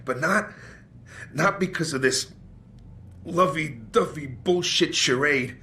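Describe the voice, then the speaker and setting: Bitter vengeful merc from a video game, kinda higher male voice, sardonic, faint noise behind. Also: slow pace, sharp tone. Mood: sardonic, dismissive. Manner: sardonic, profane, defiant. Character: embittered male mercenary, hard-boiled and vengeful. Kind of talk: cinematic video game acting.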